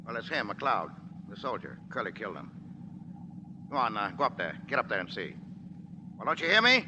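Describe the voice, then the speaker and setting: An American actor playing a swaggering, impatient middle-aged gangster boss, domineering and barking, in 1940s radio drama style. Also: male voice, on the low side, sing-song, a little fast. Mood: agitated, menacing. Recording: dull, hissy 1940s radio recording.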